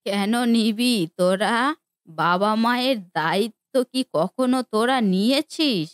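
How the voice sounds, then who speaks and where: A woman's voice: mid-range voice, dry recording, studio quality, lightly acted. A woman playing a stern, reproachful elderly mother in a cartoon family drama, with an indignant, scolding manner.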